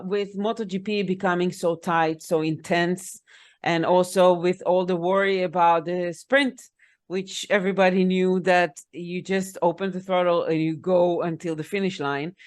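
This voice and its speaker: A woman co-hosting a casual podcast chat in her second language, explaining at length with frequent fillers. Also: on the low side. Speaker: podcast co-host.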